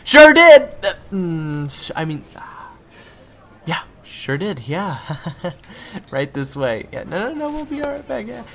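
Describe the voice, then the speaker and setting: A man's voice: sits high, very animated, slow, fairly bright tone, exaggerated voice. A man playing a flustered, stammering young waiter in an audio roleplay, who secretly hunts monsters and swings between chummy chatter and sweary irritation. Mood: flustered, falsely cheerful. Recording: clearly noisy background.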